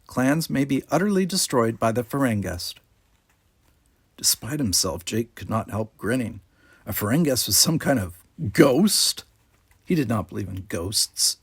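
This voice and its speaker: Male story reader: husky, mid-pitched, expressive scripted narration in a dry acoustic. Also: no echo. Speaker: amateur audiobook reader.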